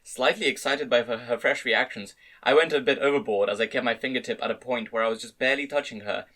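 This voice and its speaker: A man's voice: mid-range voice, quick pace, pushed and bright. Man reading a story aloud. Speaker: amateur story reader. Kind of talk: dramatic reading of fan-read light novel narration.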